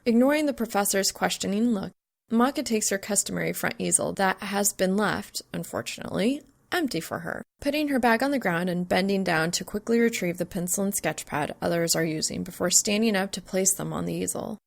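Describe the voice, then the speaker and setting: A woman reading a scripted story aloud as narrator, with an expressive, dramatic delivery. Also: mid-range voice, a little fast, vocal fry. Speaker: fan fiction narrator.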